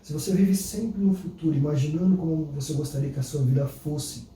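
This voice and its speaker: A Brazilian man co-hosting a podcast, calmly reflecting on what his partner says. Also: on the high side, a little fast. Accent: Brazilian Portuguese. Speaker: podcast co-host. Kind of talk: casual conversational commentary. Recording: echoey room, distant mic, faint noise.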